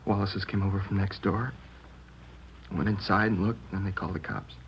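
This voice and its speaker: A man playing a shaken, upset schoolboy in a 1950s radio crime drama, speaking haltingly and plainly with an American accent. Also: deep voice, steady tone. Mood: subdued, halting. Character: shaken schoolboy who found his mother killed. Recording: dull, noisy vintage radio recording.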